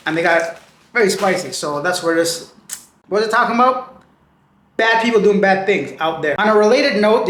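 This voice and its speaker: A man with a high, slightly raspy voice runs through news headlines in a loose, chatty way, tossing in slangy remarks between stories. The recording carries a noticeable room echo. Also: a bit bright, a little hiss or hum. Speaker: news channel host. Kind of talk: casual news commentary.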